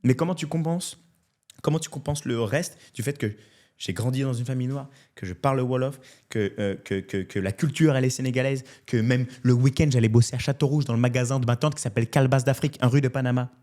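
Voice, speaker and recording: French man in his thirties, comedian guest, candid, animated storyteller, mid-pitched voice, casual chat. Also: quick pace. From France. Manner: animated and candid, often adds "tu vois".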